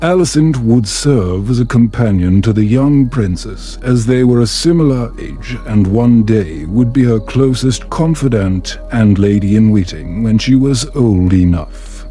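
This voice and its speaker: A man narrating a fantasy bedtime story in a calm, soothing, measured way. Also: fairly low pitch, faint music behind. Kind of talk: calm scripted fantasy audiobook narration for sleep.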